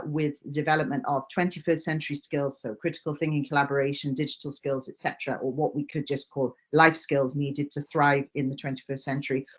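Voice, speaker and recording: A woman's voice: low-pitched, highs cut off. A Welsh woman giving a conference presentation, friendly and earnest, with frequent "uh" fillers. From Wales. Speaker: company director.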